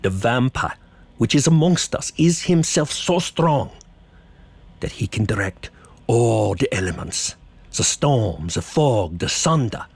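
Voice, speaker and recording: An actor playing a grave older professor who hunts vampires, speaking in solemn, deliberate oratory in a horror audio drama. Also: male voice, mid-range voice, lively intonation, slightly rough, dry recording, full character voice. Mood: grave, urgent. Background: music.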